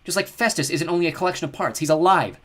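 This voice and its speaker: A man's voice: sits high, sing-song, quick pace, breathy edge, pitched above the performer's own voice, heavily acted. A man voicing a brave, persuasive teenage demigod girl in an audiobook reading. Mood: defiant. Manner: earnest, coaxing.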